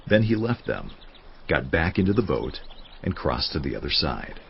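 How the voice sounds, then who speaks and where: An actor playing a grave Bible narrator, telling scripture in a measured, solemn dramatic style. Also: male voice, a bass voice, sing-song, vocal fry, breathy edge, full character voice. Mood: solemn storytelling. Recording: dull sound under prominent music. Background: music.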